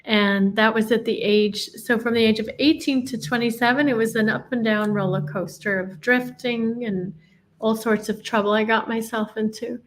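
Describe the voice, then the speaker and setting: Earnest woman, higher voice. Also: slight background noise. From Northern Ireland. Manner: earnest, reflective storytelling with many restarts. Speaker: interview guest. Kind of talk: personal life story in a podcast interview.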